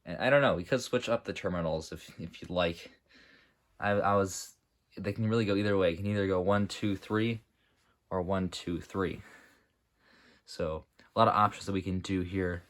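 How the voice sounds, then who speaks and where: A young man with a low, deep voice talks through his hobby build in a loose, rambling way. He fills his pauses with "uh" and corrects himself often. His throat is sore and dry. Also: fairly even intonation, a bit bright. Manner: rambling, with many fillers and self-corrections. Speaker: model airport hobbyist showing his build. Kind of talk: casual narrated walkthrough.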